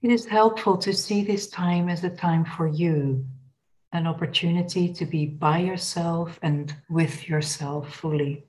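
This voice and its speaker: A woman leading a guided body scan meditation in a gentle, unhurried, instructional manner. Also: fairly low pitch, fairly even intonation, low-quality recording.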